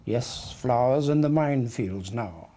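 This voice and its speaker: A man reading a poem aloud in a measured, solemn way. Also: mid-range voice, animated, dark tone. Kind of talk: slow poetry reading. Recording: faint background noise.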